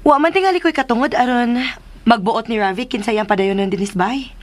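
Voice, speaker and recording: An actress playing a jealous young expectant mother in a radio drama, measured and wounded. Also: on the high side, close to an everyday voice. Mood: hurt, guarded. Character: jealous pregnant young woman, hurt but restrained.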